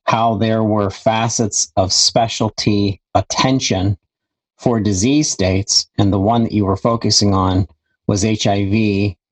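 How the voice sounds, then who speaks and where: Enthusiastic male interviewer, somewhat low-pitched, welcoming tone. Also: steady tone, a little slow, rough recording. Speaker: podcast network host. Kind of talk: casual interview hosting.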